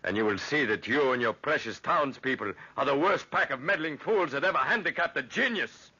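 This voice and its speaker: An actor in old-time radio drama playing a contemptuous genius inventor, grandiose and sarcastic. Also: male voice, medium pitch, expressive, fairly quick, pushed and bright, slightly rough. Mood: scornful. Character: haughty, sardonic genius inventor, contemptuous of townspeople. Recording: dull, lo-fi old radio recording.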